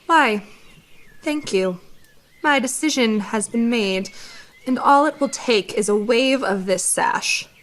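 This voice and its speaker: A woman's voice: a little high, takes it slowly, fairly bright tone. A woman playing a pompous, scheming army commander in a radio theatre drama, speaking formally and smugly. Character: haughty, scheming army commander, vindictive and smug.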